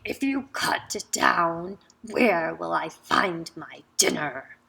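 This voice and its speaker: A woman voicing a menacing male jaguar who growls low in a storybook read-aloud. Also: animated, slow pace, vocal fry, bright, forceful tone, a little hiss or hum, exaggerated voice.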